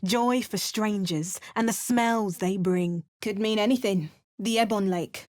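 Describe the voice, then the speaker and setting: A woman's voice: medium pitch, unhurried, darkish tone, no echo, natural voice. A young woman voicing a bold female adventurer hero in a video game, dry and clipped, snapping out spell words. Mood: wry. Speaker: player character.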